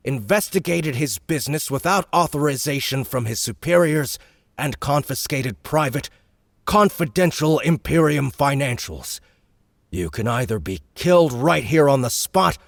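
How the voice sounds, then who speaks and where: A man voicing a huge, shrewd male baron who speaks slowly and commands the room, in a dry close recording. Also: medium pitch, no echo, pitched above the performer's own voice. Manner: slow, measured and domineering.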